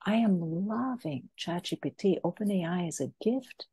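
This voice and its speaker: A woman's voice: lowish voice, expressive, moderate pace, dark tone, breathy edge, dry recording. A woman who grew up in France, a business coach speaking as a podcast guest in an earnest, motivational way. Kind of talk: podcast interview talk. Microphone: podcast mic.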